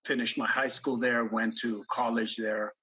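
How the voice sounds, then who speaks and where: A man in his fifties who grew up in Iran, telling his story as an interview guest in a halting way, with many pauses and restarts. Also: mid-range voice, little pitch movement, pushed and bright, husky, muffled recording. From grew up in Iran, born in the US. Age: 50s. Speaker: guest investor.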